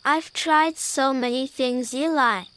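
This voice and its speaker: A woman's voice: high voice, takes it slowly, a bit bright, voice pushed up. A woman voicing a shy but eager young male lion cub in a children's storybook, speaking earnestly. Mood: excited, unsure.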